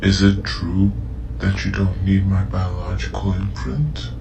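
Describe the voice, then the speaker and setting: A man's voice: deep voice, measured pace, creaky. A man playing a trusting, anxious companion in a fan audio drama, hesitant and earnest, full of questions. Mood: confused. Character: trusting, anxious male companion, betrayed and pleading. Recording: echoey, distant from mic, music behind.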